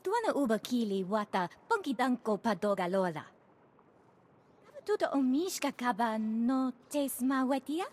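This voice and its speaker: High-voiced, businesslike alien agent. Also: female voice, sing-song, moderate pace, a little mellow. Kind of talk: scripted video game dialogue.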